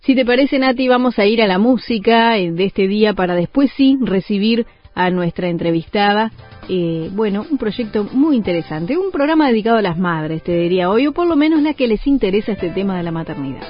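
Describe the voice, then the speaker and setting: A woman's voice: medium pitch, lively intonation, fairly quick. A woman hosting a women's radio program and chatting in a friendly, conversational way with her guests. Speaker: radio host. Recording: muffled radio broadcast, faint music.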